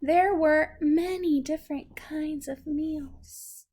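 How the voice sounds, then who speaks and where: Female storyteller for young children: high-ish voice, very measured pace, patient and simple in explanation. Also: steady tone, a little mellow. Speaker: adult reading aloud to a young child. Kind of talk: slow read-aloud storytelling with questions to a child.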